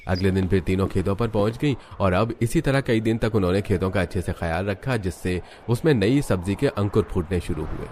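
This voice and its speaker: A man serves as narrator of a scripted family cartoon tale. His voice sits fairly low, and there is faint noise in the recording. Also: fairly quick, hardly any pauses, darkish tone. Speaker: story narrator.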